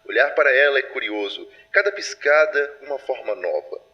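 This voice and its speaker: A man playing a grave superintendent of a secret paranormal patrol, dictating case files formally and deliberately in an audio drama. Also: mid-range voice, animated, highs cut off, natural voice. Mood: grave, measured. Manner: solemn, formal, deliberate storytelling. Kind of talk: scripted audio drama narration.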